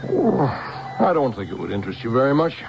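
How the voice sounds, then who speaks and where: An elderly robot scientist in a radio play. His delivery is very animated in pitch, halting and wary, stubborn when cornered. The old recording is dull, with faint music. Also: gravelly. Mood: evasive, nervous. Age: older. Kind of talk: 1970s radio drama acting.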